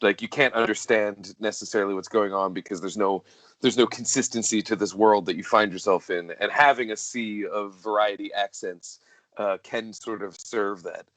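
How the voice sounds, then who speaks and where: A man's voice: fairly low pitch, fairly even intonation, normal speed, a bit bright, dry recording. A man co-hosting a podcast, talking casually and effusively about film, often feeling for the right word. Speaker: podcast co-host.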